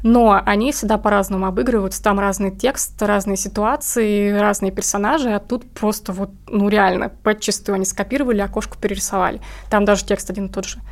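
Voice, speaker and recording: A woman's voice: medium pitch, steady tone, brisk, few pauses. Informal woman explaining in casual conversation. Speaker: interview guest, comic artist.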